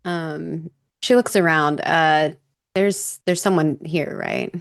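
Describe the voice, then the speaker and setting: A woman's voice: deep voice, slow, gravelly, dry recording, clean studio sound. A woman playing a tabletop game, casually describing her character to the group.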